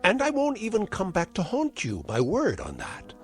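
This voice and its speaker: An actor playing a spiteful, frail old uncle in a vintage television drama, speaking in ornate, sardonic, theatrical phrases. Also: male voice, sits high, huge pitch swings, moderate pace, soft background music. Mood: sardonic, mocking. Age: older.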